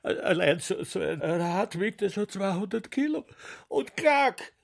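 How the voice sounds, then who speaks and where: High, creaky, wailing sham mourner. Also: male voice, lively intonation, full character voice. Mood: sham grief. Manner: melodramatic wailing, drawn-out lamenting. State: crying. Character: theatrical sham-grieving man, wailing, slippery, overwrought. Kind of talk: German radio drama acting.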